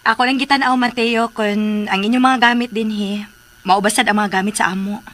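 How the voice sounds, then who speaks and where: A woman's voice: medium pitch, fairly even intonation, fairly quick, a bit bright, close to an everyday voice. A woman playing an anxious, insistent young woman who keeps questioning others in a radio drama. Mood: hesitant, coy. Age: young adult.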